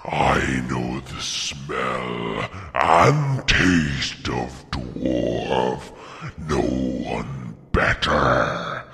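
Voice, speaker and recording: A man voicing a huge, ancient, sly and menacing dragon in a storybook reading, gravelly and booming, with slow taunting delivery and a cavernous reverb effect. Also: lively intonation, sharp tone, exaggerated voice. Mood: scornful threat. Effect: deep cavernous reverb effect. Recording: long cavernous added reverb.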